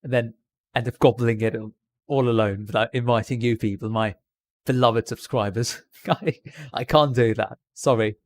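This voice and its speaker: Male streamer, affected Received Pronunciation British accent, casual direct-to-viewer chat, mid-pitched voice, dry sound. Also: steady tone, moderate pace, no room sound. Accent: put-on British Received Pronunciation. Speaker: live streamer.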